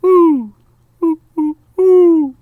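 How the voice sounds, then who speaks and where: A man imitating a great horned owl with drawn-out, repeated hoots in a storybook reading. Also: extremely high pitch, no echo, phone-quality sound, voice pushed up, heavily acted. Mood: loud calling. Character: hooting great horned owl call, loud and high.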